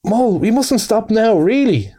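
A man voicing a cheerful, brisk male water rat who hurries his friend along. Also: high voice, big pitch swings, dark tone, voice pushed up. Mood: hurried. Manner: brisk and hearty.

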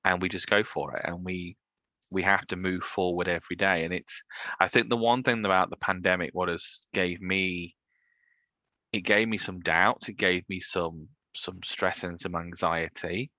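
A man's voice: low voice, steady tone, normal speed, pushed and bright, no room sound, muffled recording. A man in his thirties, a guest in an interview, talking casually in rambling sentences with many restarts. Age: 30s. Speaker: guest, entrepreneur.

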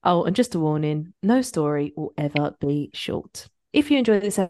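A woman's voice: deep voice, lively intonation, normal speed, darkish tone. A young woman co-hosting a podcast, chatting casually and telling stories animatedly, swearing freely. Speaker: podcast co-host. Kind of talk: casual chat among friends.

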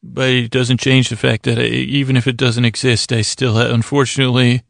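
A man hosting a bedtime podcast in a meandering, halting monologue, gently silly and self-deprecating, with words repeated and thoughts drifting off into tangents. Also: medium pitch, little pitch movement, no echo, studio quality. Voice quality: gravelly, creaky. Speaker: podcast host. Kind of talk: rambling bedtime monologue to help listeners sleep.

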